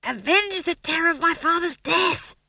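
Woman as shrill, barking dwarf stage manager Howell. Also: drawn-out words, no room sound, studio quality, full character voice. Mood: frantic. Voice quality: strained yelling. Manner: barking, drill-sergeant urgency. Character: excitable, commanding male dwarf stage manager, exasperated. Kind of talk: audiobook character voice.

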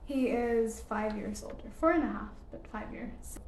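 A woman's voice: a little high, moderate pace, darkish tone, reverberant, slight background noise. Young woman from Ethiopia, hesitant. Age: young adult. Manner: hesitant, many fillers, laughs often. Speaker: guest. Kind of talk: casual interview answers.

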